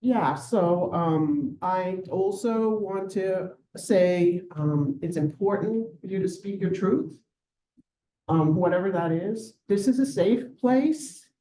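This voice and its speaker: A woman representing a community association, speaking to a live audience in a reassuring, halting way with many pauses. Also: on the low side, mellow. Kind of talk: informal remarks to a live audience. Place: community meeting room. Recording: roomy room sound from a distance.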